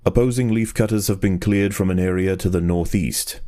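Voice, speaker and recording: A man playing a solemn nature documentary narrator in a video game, measured, grave and formal. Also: deep voice, mellow, lightly acted.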